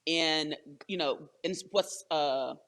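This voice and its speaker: Enthusiastic higher-voiced man interviewing. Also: slow, a bit bright. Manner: enthusiastic, affirming fan. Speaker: interviewer, host. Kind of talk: casual conversational interview.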